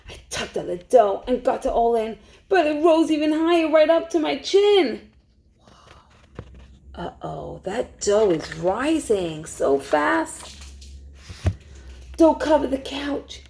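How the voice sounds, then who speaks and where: A woman storytime teacher reading a rhyming picture book aloud to young children in an expressive way. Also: high-pitched, takes it slowly, creaky, pauses often, a little hiss or hum. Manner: expressive, hushed suspense, asks listeners questions.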